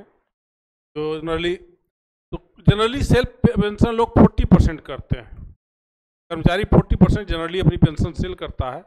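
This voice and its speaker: High-pitched man teaching. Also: a little mellow, rough recording. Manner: didactic, repeats key phrases for emphasis. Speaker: teacher explaining pension schemes. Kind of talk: informal explanatory lecture.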